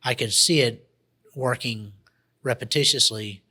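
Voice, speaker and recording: A man with a bright, clear tone talks through his business plans on a radio show. His delivery wanders and restarts often, in a relaxed conversational way. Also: mid-range voice, a little slow. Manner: rambling, many restarts and fillers. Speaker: guest, automation entrepreneur. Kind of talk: casual radio interview.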